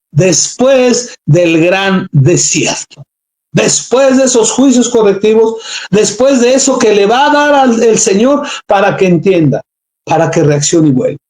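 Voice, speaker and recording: High-voiced fervent preacher man, echoey hall. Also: a little mellow. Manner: fervent, exhorting with calls for amen. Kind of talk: evangelical sermon.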